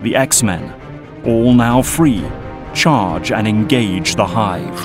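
A man's voice: lowish voice, expressive, slow pace, loud music behind the voice. A man narrating an action audio drama in a scripted, dramatic style.

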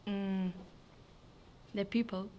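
Slow soft-spoken teen girl from Spain. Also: mid-range voice, flat delivery, muffled recording, faint music behind. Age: teens. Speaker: exchange student. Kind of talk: casual school TV interview.

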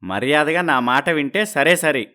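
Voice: medium pitch, animated, moderate pace, pushed and bright. An actor voicing an arrogant, scheming husband in a moral story, boastful, sneering and threatening. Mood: mocking, gloating. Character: arrogant, scheming, mocking husband living in America. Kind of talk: dramatized moral story dialogue.

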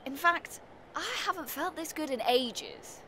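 A sardonic British woman neighbour in an audio comedy play. Her voice sits high and cuts brightly. She is brusque and defiant, heavy on sarcasm. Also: unhurried, slight background noise.

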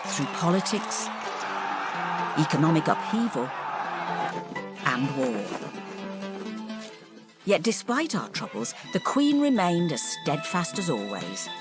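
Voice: mid-range voice, sing-song, slow, pauses often. A narrator reading scripted historical narration in a community audio play. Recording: loud music behind the voice.

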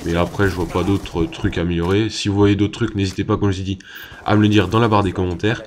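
A man's voice: sits low, flat delivery, quick pace, a little mellow. A young man giving casual commentary over a gameplay video, talking hesitantly with many fillers and restarts. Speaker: gaming YouTuber.

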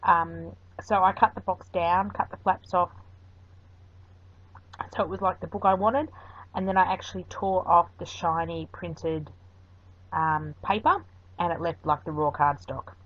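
This woman sits a touch low in pitch and talks in a loose, rambling way, dropping ums as she explains each craft step. Her voice-over comes through a dull, lo-fi recording. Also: fairly even intonation, unhurried, pauses often, a bit bright. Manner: chatty and rambling, with frequent ums. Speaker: craft video host. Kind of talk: voice-over craft tutorial narration.

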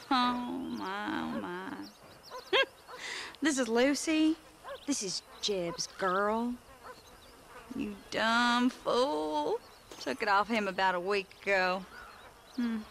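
A cackling frontier horse thief. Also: female voice, on the high side, lively intonation, very slow, pauses often, a bit bright, heavily acted. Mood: sly. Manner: cackling, teasing, drawling. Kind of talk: video game cutscene acting. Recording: game audio with outdoor ambience noise.

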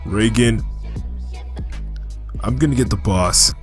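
A man's voice: lowish voice, takes it slowly, over music. A man playing a blunt, impatient, bossy crew member in an audio drama. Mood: irritated.

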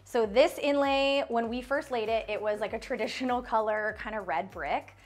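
A woman's voice: fairly high pitch, moderate pace. A woman in her thirties, an interior designer, chatting upbeat and casual as she walks through her house, laughing often. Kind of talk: casual home tour chat. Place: bright home interior rooms.

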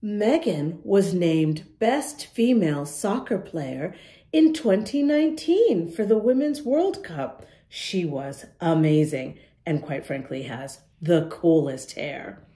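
A woman's voice: on the low side, animated, takes it slowly, warm tone. A woman reading a picture book aloud to young children, encouraging and emphatic, speaking to them directly. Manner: encouraging, addresses young listeners directly with questions. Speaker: children's storytime reader and host.

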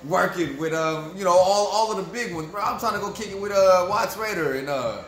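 Young man, fan vlogger, animated profane rant, echoey home room, faint music. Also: sits high, fairly quick. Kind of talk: ranting post-game fan review.

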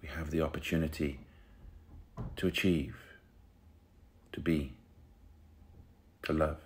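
Very deep-voiced man guiding meditation. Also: flat delivery. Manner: soothing, unhurried, pausing between short phrases. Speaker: meditation guide. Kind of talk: guided meditation.

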